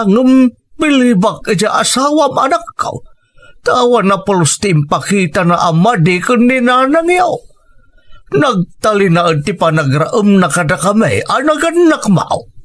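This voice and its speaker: A man's voice: high-pitched, a bit bright, exaggerated voice. A man playing an earnest, approving aging father-in-law in an audio drama, explaining things patiently.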